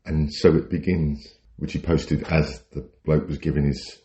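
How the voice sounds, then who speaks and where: A man's voice: extremely low pitch, little pitch movement, moderate pace, warm tone, lo-fi sound. A British man chatting casually as a podcast co-host, telling anecdotes in a wry, rambling way.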